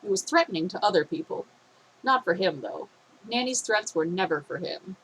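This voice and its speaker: A woman reading a fan fiction story aloud in a steady, expressive way, her voice a little phlegmy. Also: mid-range voice, moderate pace, slight background noise, poor audio.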